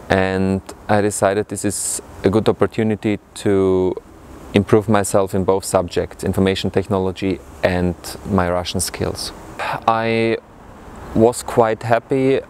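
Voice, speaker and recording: A young man with an Austrian accent talks through his studies in a lowish voice, stopping now and then to search for the right word. He is outdoors in a city courtyard on a clip-on mic, with faint traffic noise behind him. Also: darkish tone. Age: young adult. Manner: thoughtful, pausing to find words. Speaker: international student. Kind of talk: testimonial to camera. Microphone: lapel mic.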